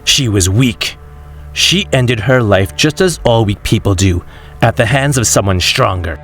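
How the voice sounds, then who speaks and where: A man's voice: on the low side, expressive, measured pace, a bit bright, faint music behind. An actor playing a cruel, arrogant tyrant swordsman in a fantasy fandub, sneering and theatrical with contempt. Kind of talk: fantasy audio drama fandub acting.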